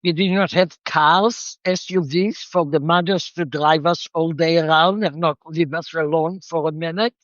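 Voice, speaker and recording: A woman's voice: deep voice, steady tone, normal speed, few pauses, dry recording. An older woman from Israel, reflectively telling the story of her life as an interview guest, with a slightly muffled sound.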